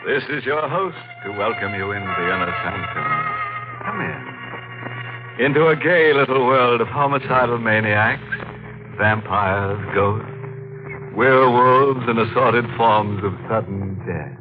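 A man's voice: fairly low pitch, a little slow, natural voice. A man playing a gleefully macabre, mock-sinister horror host in the theatrical style of 1940s radio. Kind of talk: 1940s radio horror hosting, tongue-in-cheek. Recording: tinny narrow-band old radio, organ music.

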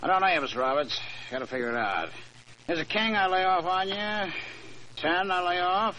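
A hard-edged, suspicious racing driver in an old radio play. The voice is high and forceful, with a curt, sardonic bite. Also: male voice, animated, moderate pace, dull audio, a little hiss or hum. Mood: smug. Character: suspicious, hard-edged, sore-loser race car driver. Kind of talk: 1940s radio drama acting.

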